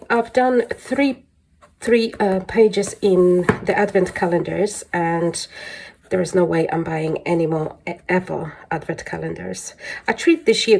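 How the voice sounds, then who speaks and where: A woman's voice: lowish voice, unhurried, gravelly, a little mellow, slight background noise. A woman with a congested voice chatting casually through a show-and-tell hobby vlog, rambling and a little hesitant. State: congested from flu. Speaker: colouring hobby vlogger.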